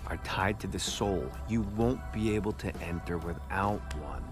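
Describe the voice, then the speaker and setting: A man's voice: low-pitched, normal speed, music in the background, lightly acted. A man playing a scheming corporate executive in a video game, polite and wry like a salesman. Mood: persuasive. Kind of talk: video game cutscene acting.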